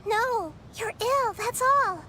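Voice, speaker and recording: A woman voicing a gentle, wise, childlike girl deity in a video game, speaking in an earnest, measured way. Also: very high-pitched, lively intonation, heavy background noise, heavily acted. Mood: calm, concerned. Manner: soft-hearted, earnest, measured. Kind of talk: video game dialogue acting.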